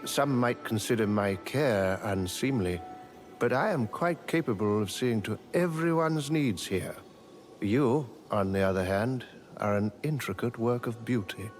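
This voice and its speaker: An actor playing a genteel, devoted manservant in a fantasy game, with formal, courtly, theatrical phrasing. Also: male voice, on the low side, unhurried, faint music behind, natural voice. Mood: courteous, welcoming. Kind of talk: video game character dialogue.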